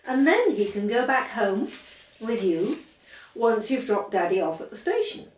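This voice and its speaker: A woman's voice: a little high, very animated, unhurried, recorded from a distance. A woman voicing an eager, insistent seven-year-old girl in a read-aloud story, earnest and bossy. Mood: bossy, matter-of-fact. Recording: roomy, set back from the mic.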